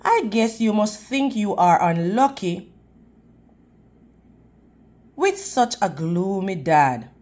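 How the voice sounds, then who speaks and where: Woman voicing a hopeful, slow-spoken father. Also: medium pitch, lively intonation, darkish tone. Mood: rueful. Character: hopeful, reassuring father of a young boy.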